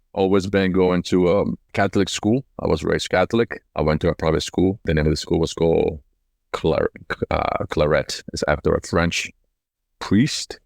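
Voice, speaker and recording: A man's voice: deep voice, creaky, dry recording, clean studio sound. A man in his forties from Colombia, telling his life story casually in an interview, reflective and conversational, repeating words as he goes. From Cali, Colombia. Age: 40s. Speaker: company CEO being interviewed.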